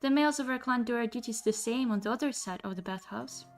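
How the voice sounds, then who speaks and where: A woman's voice: a little high, fast talker, faint music behind, close to an everyday voice. A woman voicing a polite, teasing female creature who works as a bathhouse attendant in a fantasy story. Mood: matter-of-fact.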